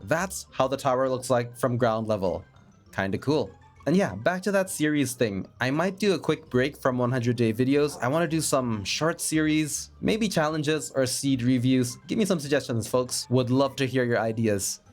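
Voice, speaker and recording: A man narrating his gameplay in a chatty, self-mocking voice-over, a bit raspy from a cold. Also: mid-range voice, moderate pace. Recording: faint background music.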